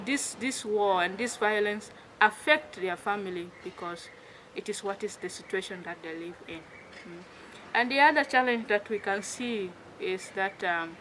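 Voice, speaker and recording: A young woman from Sudan, a religious sister answering interview questions with halting pauses and fillers. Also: medium pitch, a bit bright, slight background noise, low-quality recording. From South Sudan. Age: young adult. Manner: hesitant, pauses with many fillers.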